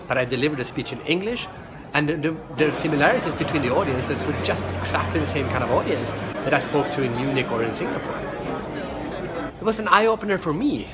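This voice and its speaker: A man's voice: on the high side, background noise. A middle-aged man from Sweden, a professional speaker, talking energetically in a casual vlog and often restarting and repeating words. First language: Swedish.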